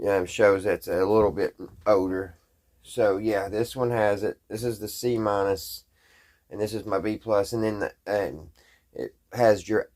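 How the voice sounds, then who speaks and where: Low-voiced man, folksy and halting. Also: darkish tone. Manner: folksy, halting, thinking aloud. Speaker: hobbyist presenter. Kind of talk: casual hands-on demonstration to camera.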